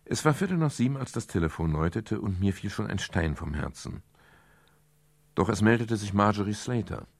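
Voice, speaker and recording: Deep, gravelly private detective. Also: male voice, animated, a little hiss or hum. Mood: sober, tense. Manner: measured, sober storytelling. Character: pensive, matter-of-fact private detective narrating his case. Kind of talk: scripted first-person radio crime narration.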